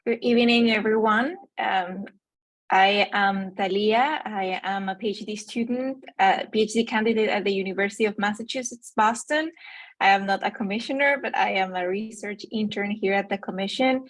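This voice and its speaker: A woman's voice: medium pitch, moderate pace. A young woman, a research intern, giving a polite, formal self-introduction at a public meeting. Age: young adult. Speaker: research intern and PhD candidate.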